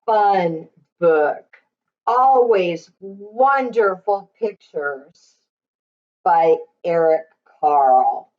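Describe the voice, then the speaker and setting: A woman reading a picture book aloud to young children in an expressive, sing-song way. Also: mid-range voice. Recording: slightly dull, lo-fi recording.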